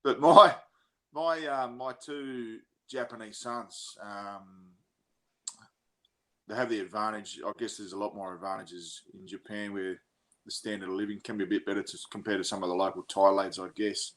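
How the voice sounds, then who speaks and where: A man's voice: lowish voice, fairly even intonation, normal speed, fairly bright tone. A middle-aged man hosting a podcast, asking rambling questions and joking around. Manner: jokey, chatty, rambling questions. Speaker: podcast host. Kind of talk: casual interview chat.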